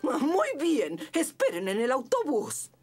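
A woman's voice: sits high, huge pitch swings, slow pace, heavily acted. A woman voicing a stern, grumpy, exasperated school principal in a Spanish-dubbed children's cartoon. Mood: strict. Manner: strict, exasperated. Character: stern, grumpy female rabbit school principal, never smiling. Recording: music behind the voice.

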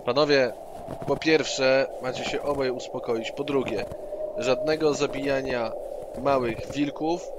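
Medium-pitched male role-player over heavy noise. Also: fairly even intonation, a little slow. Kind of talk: in-character improvised dialogue in an online tabletop game. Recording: online session with loud background noise.

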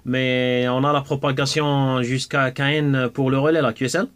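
A man at a radio desk talks as an amateur operator in a casual exchange of call signs. His phrasing is halting, with words repeated as he goes. His pitch sits in a middle male range. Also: little pitch movement, noisy background.

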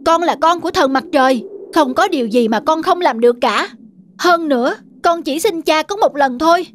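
A woman's voice: sits high, expressive, normal speed. A woman voicing a headstrong teenage demigod son in a cartoon, earnest, insistent and theatrical. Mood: boastful, pleading. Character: headstrong eighteen-year-old demigod son, boastful and eager. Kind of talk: dubbed mythological cartoon acting.

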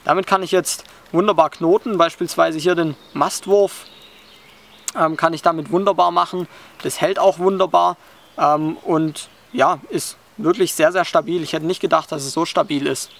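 A young man with a fairly high voice talks through a hands-on outdoor skill, calm and explanatory, pausing often with hesitant fillers. He is recorded close up while sitting on a forest floor, with faint insects in the background. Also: gravelly. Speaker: bushcraft instructor.

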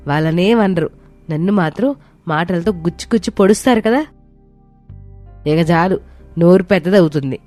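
A woman voicing a young daughter-in-law in a family story, plaintive, argumentative and quick to talk back. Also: on the low side, a little mellow, natural voice. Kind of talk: dramatized moral story voice acting. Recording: music behind the voice.

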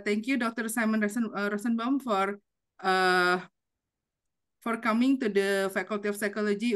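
Middle-aged woman, Indonesian accent, halting formal moderator on a video call, reading a bio. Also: medium pitch, a bit bright. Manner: halting, many fillers and restarts. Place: home office over video call.

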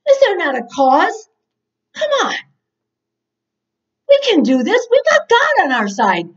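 A woman voicing a bold, indignant young shepherd boy, eager and insistent, stammering with excitement. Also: high-pitched, a little slow, pitched above the performer's own voice, heavily acted. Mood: bold, determined. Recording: dull, distant-mic recording.

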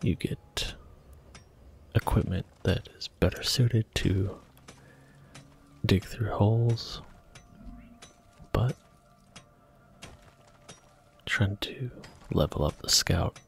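A man whispers his way through a video game in a slow, hushed ASMR murmur. His low voice carries a gravelly, fry-laden edge, recorded close to the mic, with faint music underneath. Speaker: ASMR gaming channel host. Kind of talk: soft-spoken ASMR game commentary.

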